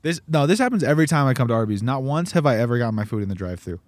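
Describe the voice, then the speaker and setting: A man's voice: mid-range voice, sing-song, rapid-fire, no room sound. A man in his twenties co-hosting a casual podcast from a parked car, laughing often and tripping over his words. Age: 20s. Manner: giggly, trips over words. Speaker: podcast co-host.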